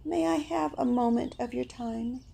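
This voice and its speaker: An actress playing Bee, a vengeful woman who stings rude people, in a radio drama with a slow, measured delivery. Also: a little high, vocal fry, mellow, husky, exaggerated voice. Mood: cold.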